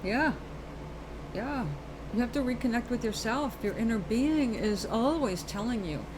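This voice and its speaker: A woman's voice: a little high, lively intonation, unhurried, background noise. A woman from New York with a New York accent, hosting a live show and chatting earnestly and ramblingly about spirit, calling listeners baby and darling. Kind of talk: casual spiritual talk to viewers.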